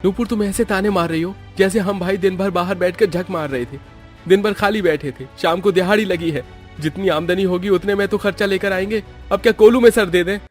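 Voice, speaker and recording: A man's voice: sits high, a little fast, soft background music, close to an everyday voice. A man voicing an irritable, hard-pressed labourer husband in a cartoon story, exasperated and complaining. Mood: defensive, annoyed. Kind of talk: Hindi cartoon story acting.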